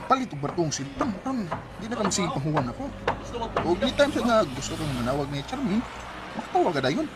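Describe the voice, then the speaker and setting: A breezy, coaxing construction pal in a Bisaya radio drama. His pitch sits on the high side and swings widely. He has a Filipino accent, over a clearly noisy recording. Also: very animated, brisk. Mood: teasing. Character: breezy, persuasive construction-worker pal who urges his friend on.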